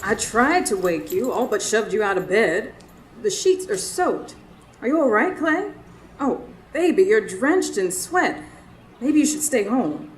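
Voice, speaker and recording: Animated, sharp-tongued wife. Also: female voice, on the high side, unhurried. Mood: worried, coaxing. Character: sharp-tongued, suspicious, caring young wife. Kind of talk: radio drama acting. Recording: roomy live stage, faint noise.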